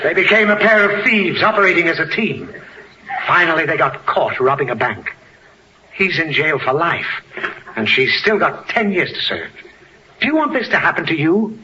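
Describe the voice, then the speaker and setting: High-voiced, blustering, exasperated dad. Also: male voice, animated, normal speed, sharp tone. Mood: lecturing. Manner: blustery, scolding, theatrical. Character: exasperated, blustering suburban father, easily riled. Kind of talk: 1940s radio comedy acting. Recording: muffled, hissy old radio broadcast.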